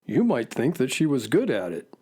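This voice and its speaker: An actor playing a bookish, deadpan space horse who narrates and talks in a children's radio drama, dry and slightly fussy. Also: medium pitch, normal speed, husky. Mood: storytelling.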